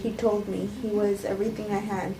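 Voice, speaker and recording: Female, self-justifying poisoner confessing calmly, read by a woman; halting cold read, distant mic in an echoey, noisy room. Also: mid-range voice, lightly acted. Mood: defensive. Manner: halting, stumbling amateur reading. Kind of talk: cold table read of a script.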